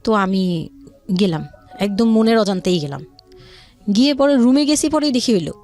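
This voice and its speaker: A Bangladeshi woman telling her life story as a radio interview guest, speaking in a halting, confiding way with repeated words. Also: a little high, animated, darkish tone. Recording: music under the voice. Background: background music.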